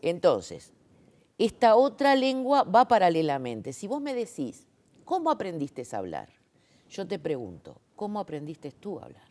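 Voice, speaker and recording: A woman explains her work in a relaxed interview. Her pitch moves animatedly as she stresses her points. She uses frequent fillers and rhetorical checks. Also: unhurried. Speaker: interviewee, sign language interpreter. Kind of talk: conversational interview.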